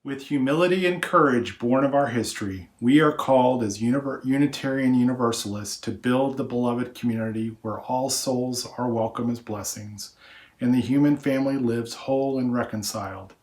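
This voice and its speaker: Man reading church liturgy, mid-pitched voice. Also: moderate pace, roomy sound. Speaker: worship leader.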